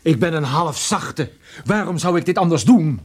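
Husky, animated, cynical detective. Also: male voice, fairly high pitch, moderate pace. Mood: wry, resigned. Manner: sardonic, world-weary, expressive. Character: cynical, wry, soft-hearted male private detective. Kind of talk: radio drama dialogue.

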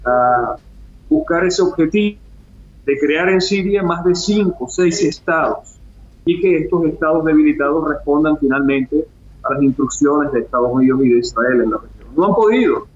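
A man's voice: fairly high pitch, moderate pace, reverberant, muffled recording. A Venezuelan man with a Venezuelan accent, an international analyst speaking emphatically as a guest on a remote radio interview. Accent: Venezuelan Spanish.

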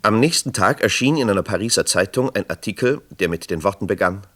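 A man's voice: fairly low pitch, expressive, a little fast, a bit bright. A man narrating a scripted true crime radio drama in a sober manner. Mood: sober, suspenseful.

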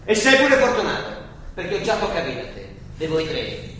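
Grumbly old roman priest judge, bright voice, radio play, echoey and far off. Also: male voice, sits high, huge pitch swings, normal speed, exaggerated voice. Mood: indignant. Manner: colloquial, theatrical, grumbling. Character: older weary, wry papal judge, a priest. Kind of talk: stage-style radio play acting. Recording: echoey stage sound from far off, hiss.

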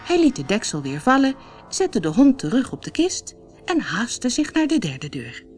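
A woman's voice: on the high side, huge pitch swings, normal speed, darkish tone, soft background music. A woman reading a fairy tale as an audiobook storyteller, expressively, as if for children. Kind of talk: scripted fairy-tale narration.

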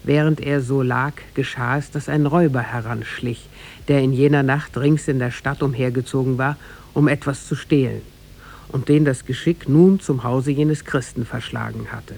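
A man narrating a tale in a formal, measured 1950s radio-drama style with literary diction. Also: medium pitch, steady tone, creaky, darkish tone.

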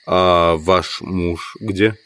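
A deep-voiced, pedantic scientist. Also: male voice, fairly even intonation, drawn-out words, a bit bright, heavily acted. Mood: concerned, shaken. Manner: pedantic, reasoning. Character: educated city entomologist, proud, skeptical, uneasy guest. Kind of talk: Russian audio drama acting.

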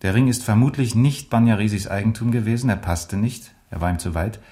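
An actor playing a methodical police officer in a radio drama, reporting in a factual, clipped, official manner. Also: male voice, on the low side, brisk, mellow, close to an everyday voice. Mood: matter-of-fact.

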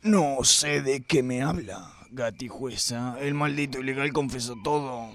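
An actor playing a sleazy, hot-tempered police inspector in a melodramatic, brooding noir parody radio play. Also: male voice, medium pitch, measured pace, a crowd in the background, close to an everyday voice. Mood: gruff.

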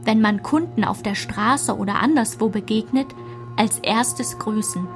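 A woman's voice: fairly high pitch, unhurried. A woman reading scripted literary narration as the narrator of a radio play. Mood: measured. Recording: music behind the voice.

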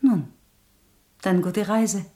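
An actress playing a young variety performer who speaks politely and with wistful restraint in a radio play. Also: female voice, medium pitch, extremely slow, mellow.

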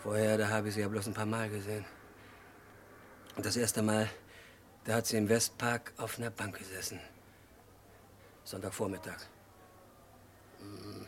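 An actor in a German radio crime play, playing an unhinged, childlike murderer who confesses in halting, disjointed sentences. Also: male voice, lowish voice, a little hiss or hum, natural voice. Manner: halting, disjointed short sentences, swinging from hushed to agitated outbursts. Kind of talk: mid-century German radio crime drama acting.

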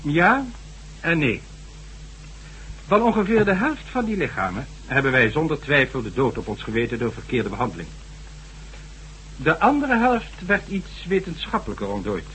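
Male senior government official, radio drama. Higher-pitched, very animated delivery, stern, condescending, formal. Slightly noisy old recording. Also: moderate pace, pauses often. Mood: measured, recounting. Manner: sardonic, condescending, formal, lecturing. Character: stern, sardonic high government official and former investigator. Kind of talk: Dutch radio drama acting. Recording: faint hum and noise, old radio recording.

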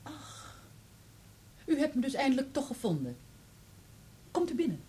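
An actress playing a guarded, worldly society woman in a Dutch radio play, with a husky voice. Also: high-pitched, big pitch swings, measured pace, mellow.

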